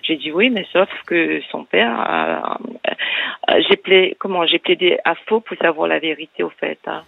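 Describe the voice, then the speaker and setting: A woman in her sixties with a Mauritian accent, calling a radio show and telling her story in a halting, hesitant way full of fillers and restarts. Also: on the low side, gravelly, no echo. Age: 60s. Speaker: radio caller. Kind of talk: personal confession on a call-in show. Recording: phone line.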